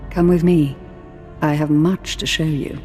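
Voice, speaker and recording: An actress playing a haughty, seductive female Sith lord in a video game, deliberate and sultry. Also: deep voice, fairly even intonation, mellow. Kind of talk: dramatic video game dialogue. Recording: long reverb, music behind.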